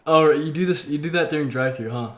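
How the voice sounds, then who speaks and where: A man in his twenties from Massachusetts with an American accent, hosting a stream with excitable, slangy casual chat. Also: on the high side, fast, echoey room. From Massachusetts, United States. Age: 20s. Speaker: game streamer, host.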